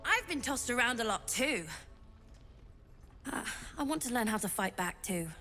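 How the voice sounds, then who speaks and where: A woman voicing an eager, cheeky tiefling child shopkeeper in a video game, excitable and full of sales patter. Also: fairly high pitch, normal speed, faint music behind. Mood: starstruck, excited.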